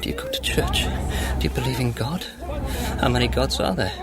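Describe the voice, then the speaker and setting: A man's voice: wildly swooping pitch, a little fast. An actor playing a frantic, paranoid man in an audio drama monologue, rambling and repeating anxious questions that climb toward shrillness. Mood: insistent questioning. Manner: rambling, repetitive, questioning, swinging into shrill shouts. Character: frantic paranoid man ranting about God and love.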